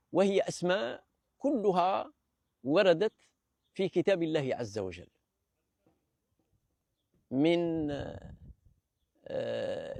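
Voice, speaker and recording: Man from Algeria, gravelly and a little high, lecturing in a hesitant, measured way; dry close recording. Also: darkish tone, dry recording. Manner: hesitant, many fillers and restarts; measured, explanatory. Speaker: presenter of a language talk series. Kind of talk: unscripted lecture.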